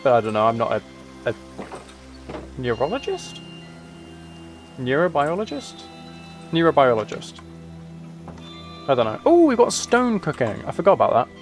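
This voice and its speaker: A man's voice: mid-range voice, huge pitch swings, normal speed, pauses often, faint music behind. A man chats casually with viewers as he plays a game, rambling and musing aloud. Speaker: live streamer. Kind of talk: casual chat with viewers while gaming.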